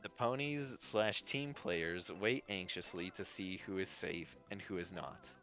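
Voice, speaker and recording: A man's voice: sits low, measured pace, fairly bright tone. A man narrating a fan-fiction story as its host. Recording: faint music behind. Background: faint music.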